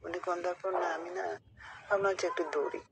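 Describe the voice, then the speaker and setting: A woman's voice: fairly low pitch, flat delivery, fast talker. A woman with a Bangladeshi accent chatting confidingly on a phone call, rambling and often checking that her friend follows. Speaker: caller telling a friend a personal story. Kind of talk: casual confiding phone chat. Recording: phone call recording, faint noise.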